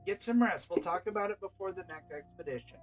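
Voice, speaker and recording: A man's voice: sits high, animated, fast talker, music in the background. A man voicing a brusque, exasperated female guild leader in a story, curt and commanding. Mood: stern.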